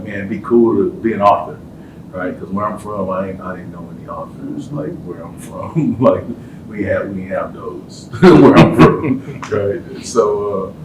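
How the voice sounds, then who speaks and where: A man with a low voice hosts a college panel and tells loose, laughing stories in casual chat. He sounds set back from the mic. An echoey hall and clear hiss surround his voice. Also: recorded from a distance. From Texas.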